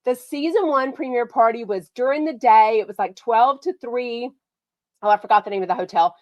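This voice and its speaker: A chatty woman hosts a gossip livestream, excitable and quick to gush. A mild cold leaves her a bit nasal. Her voice sits fairly high and sounds dry and close. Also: dry recording, highs cut off. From Texas. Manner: bubbly and excitable, lots of "like" and "oh my gosh". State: a slight head cold, nasal. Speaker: podcast host.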